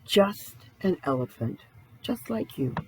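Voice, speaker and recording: A woman's voice: medium pitch, expressive, takes it slowly, slightly rough, full character voice, poor audio. A woman voicing a friendly, eager young boy elephant for a children's storybook, exclaiming dramatically. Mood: content. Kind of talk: children's storybook read-aloud.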